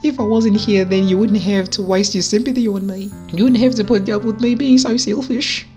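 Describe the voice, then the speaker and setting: Man putting on a high tearful lovesick teenage girl. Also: fairly even intonation, fairly quick, darkish tone, soft background music, lightly acted. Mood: anguished. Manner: pleading, dramatic.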